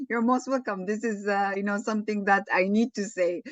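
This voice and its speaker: A woman leading an online teaching session, slow and encouraging, often thanking participants. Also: mid-range voice, dry recording. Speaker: webinar lecturer. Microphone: video call. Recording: dull online call audio.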